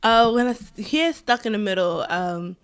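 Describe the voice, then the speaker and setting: A female podcast guest with a medium-pitched voice. She speaks informally, telling stories in a casual interview style. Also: animated, moderate pace, smooth. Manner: informal, conversational. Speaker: podcast guest, fellow podcast host.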